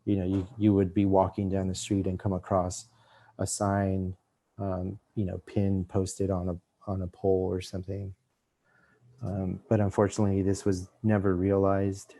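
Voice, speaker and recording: A middle-aged man raised in Los Angeles giving an art lecture, halting with many restarts and fillers. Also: low voice, completely flat delivery, mellow. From Mexico, raised in Los Angeles. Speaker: visiting artist lecturer.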